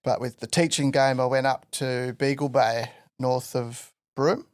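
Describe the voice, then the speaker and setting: A man's voice: medium pitch, unhurried, no echo. A man from Western Australia, a guest artist telling his story in a casual podcast interview, loose and full of fillers. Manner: relaxed rambling storyteller with many fillers.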